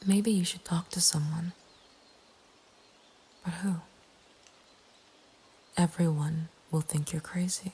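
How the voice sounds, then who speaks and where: A woman narrating a scary story in a breathy, hushed ASMR whisper, slow and deliberate. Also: on the low side, fairly even intonation. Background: nature ambience.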